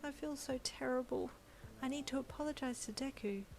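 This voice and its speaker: A woman voicing a gentle, emotional young pregnant wife who speaks softly and gratefully. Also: high voice, a little fast, creaky, voice pushed up. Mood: tender. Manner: soft, tearful and grateful.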